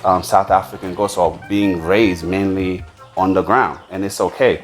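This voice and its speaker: A man from the United States hosting a casual farm tour, explaining things in a halting way with repeated words. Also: deep voice, fairly even intonation, faint music behind. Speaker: farm owner and video host.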